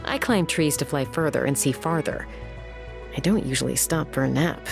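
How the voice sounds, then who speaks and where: A woman playing a brisk, confident young flyer and gunslinger in a video game, direct and self-assured. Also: deep voice, creaky, over music. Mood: confident, teasing.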